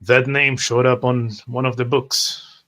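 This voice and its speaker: Guy in an rpg session, average pitch, stumbles and repeats words acting stuff out. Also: level tone, unhurried, pushed and bright. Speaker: tabletop roleplay player. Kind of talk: in-character roleplay, narrating own actions.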